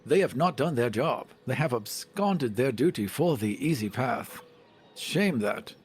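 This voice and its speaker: A man with a husky voice improvising as a player in a tabletop game, often in mock-solemn, formal phrases. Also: medium pitch, lively intonation, a little slow. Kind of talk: improvised tabletop role-play with casual narration.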